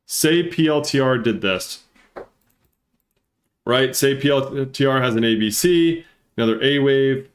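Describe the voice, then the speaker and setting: A man with a congested, stuffy-nosed voice, explaining things casually as a live-stream market analyst and repeating points for emphasis. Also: medium pitch. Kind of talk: casual live chart commentary and trading lesson.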